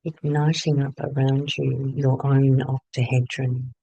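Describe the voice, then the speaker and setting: Slow, mellow man guiding meditation. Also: mid-range voice, flat delivery, creaky, slightly rough, dry recording, poor audio. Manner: long pauses between short phrases. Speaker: meditation guide. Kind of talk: guided meditation.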